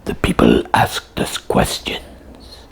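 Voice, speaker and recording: A performer voicing a boastful, bumbling puppet doctor detective in a comic puppet show, pompous and very raspy. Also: slow, natural voice. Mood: self-important. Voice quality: very raspy, gravelly, husky.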